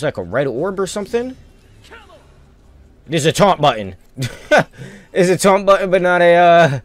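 Low-pitched man streaming games. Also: slight background noise. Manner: informal slangy talk, thinking aloud. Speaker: video game streamer. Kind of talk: casual live gameplay commentary.

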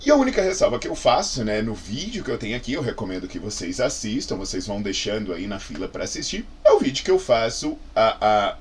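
A man's voice: on the low side, slight background noise. A man in his forties with a Brazilian accent, giving an informal, conversational explainer to camera with rhetorical questions. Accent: Brazilian Portuguese. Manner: animated, conversational, frequent "né" tags and rhetorical questions. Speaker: fitness science YouTuber, presenter. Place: small indoor office with bookshelves.

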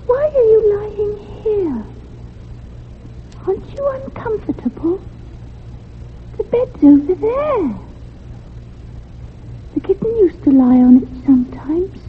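A woman's voice: very high-pitched, sing-song, a little hiss or hum, heavily acted. A woman playing a ghostly little girl in a radio drama, plaintive and childlike, repeating herself. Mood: plaintive, eerie. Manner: slow, repetitive, childlike questioning. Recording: tinny phone-like band, faint music.

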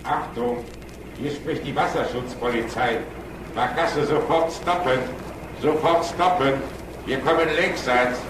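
Commanding water policeman on loudhailer: strong echo, some distance, radio play. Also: mid-range voice, unhurried. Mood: forceful. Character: commanding water police officer hailing a boat. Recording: echoey, distant, noisy.

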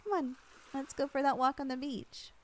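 A young woman speaks in a gentle ASMR roleplay, slightly high-pitched and soft. She sounds tender and teasing, like a girlfriend talking close to you. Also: fairly even intonation, normal speed.

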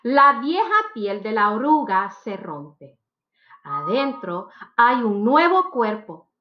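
High-pitched woman reading slowly to children. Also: very animated, muffled recording, soft background music. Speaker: teacher reading picture books aloud. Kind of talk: slow, expressive read-aloud for children.

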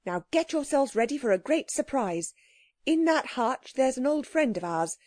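A bossy storybook boy urges and commands his siblings in a raised, insistent voice, as a woman reader plays him. The voice is pitched higher than the narration, warm and mellow in tone. The sound is dry and close. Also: big pitch swings, normal speed, dry recording. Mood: urgent. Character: earnest, bossy young boy.

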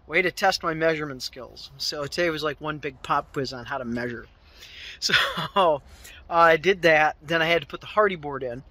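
A man's voice: a little high, moderate pace, sharp tone, dry recording. A man in his fifties, a part-time contractor, rambling and chuckling at himself as he films a casual walk-through of a tool trailer at night, holding a phone camera at arm's length inside the small trailer. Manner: rambling, chuckling, self-deprecating. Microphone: phone camera held at arm's length.

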